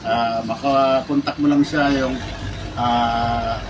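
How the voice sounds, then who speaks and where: Casual Filipino male vlogger, mid pitch, halting product-unboxing delivery, recorded at a distance with noticeable noise. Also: fairly even intonation. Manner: halting, repeats words, addresses viewers often. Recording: distant mic, clearly noisy background with birds.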